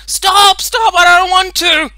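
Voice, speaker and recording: A woman voicing a stubborn, loud-mouthed farm maid with rustic, ungrammatical speech in a children's audiobook. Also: extremely high pitch, steady tone, slow, voice pushed up, exaggerated voice. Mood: terrified.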